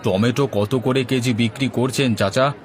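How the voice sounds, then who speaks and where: A man's voice: mid-range voice, steady tone, normal speed, some chatter behind, a little hiss or hum, close to an everyday voice. Man playing a henpecked, grumbling cartoon village husband. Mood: businesslike. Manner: placating, grumbling. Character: henpecked village husband, placating, muttering dry jibes. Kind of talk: Bangla cartoon voice acting.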